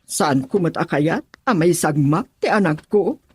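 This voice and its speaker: A woman's voice: low-pitched, expressive, a little slow. A middle-aged woman playing a prying, worried mother in a radio drama.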